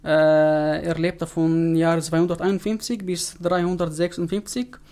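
A man whose first language is Arabic, answering interview questions in a halting way with frequent pauses and restarts. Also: on the high side, level tone, dark tone. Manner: halting, many fillers and restarts. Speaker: interview guest.

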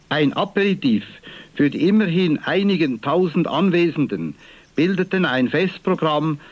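A man from Valais with a Swiss accent, presenting church news on the radio in a formal, measured reading. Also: a little high, no echo, muffled recording. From Valais, Switzerland. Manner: formal, measured, clear delivery.

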